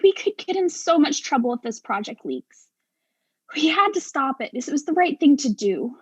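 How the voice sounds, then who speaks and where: A woman acting an exasperated, sharp-tongued professional in a darkly comic mystery play, arguing with precise sarcasm. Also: sits high, sing-song, moderate pace, no echo. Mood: defensive, dry.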